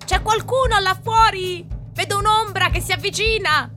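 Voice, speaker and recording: A little shipwrecked soul, frightened and pleading. The voice is high and piercingly bright, with plaintive stage acting suited to a comic radio play. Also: female voice, moderate pace, faint music behind. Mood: fearful. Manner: theatrical, plaintive.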